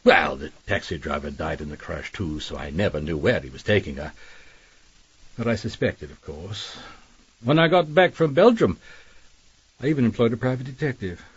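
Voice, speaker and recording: A scheming gentleman employer with a husky edge and very animated intonation. He is wordy, genteel and quietly commanding. The radio drama recording sounds slightly dull. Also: low voice, normal speed, no echo, exaggerated voice. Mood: brooding. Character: suave scheming male employer, widowed, politely commanding.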